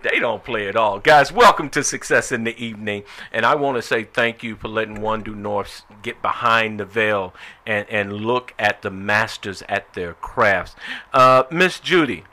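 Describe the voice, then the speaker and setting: Male talk show host, bright and forceful, informal conversational interviewer with halting, repetitive phrasing. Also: fairly low pitch. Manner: informal, halting, repeats words.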